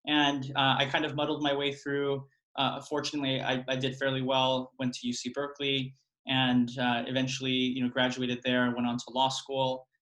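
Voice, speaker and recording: A man's voice: medium pitch, monotone, moderate pace, a bit bright, poor audio. A man working as a college admissions consultant, introducing himself on a video call in an earnest, hesitant way with many fillers.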